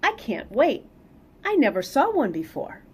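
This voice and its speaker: Woman voicing an excitable, high-pitched young cat. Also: measured pace, breathy edge, full character voice. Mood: eager. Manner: exclaiming, wide-eyed. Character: excitable, curious young male cartoon cat.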